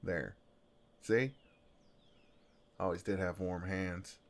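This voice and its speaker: A man with a Southern US accent playing a gentle, flirtatious farmhand in an intimate ASMR roleplay, with an easygoing, folksy, soothing drawl. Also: low voice, fairly even intonation, slow pace. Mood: tender, reassuring.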